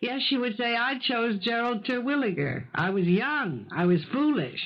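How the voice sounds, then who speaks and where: Prim, lively housekeeper, dull recording. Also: female voice, on the high side, normal speed, a little hiss or hum. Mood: wistful, coaxing. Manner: formal, proper, polite servant's diction. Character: prim, brisk, take-charge female housekeeper. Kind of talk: old-time radio mystery drama acting. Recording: dull 1970s radio broadcast recording.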